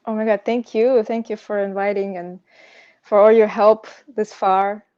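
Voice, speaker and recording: A woman from Russia, a native Russian speaker, telling a personal story as an interview guest in a halting, searching way. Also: medium pitch, little pitch movement, mellow, clear, resonant tone, lo-fi sound. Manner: hesitant, halting, frequent "you know". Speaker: podcast guest sharing personal insomnia story; pianist.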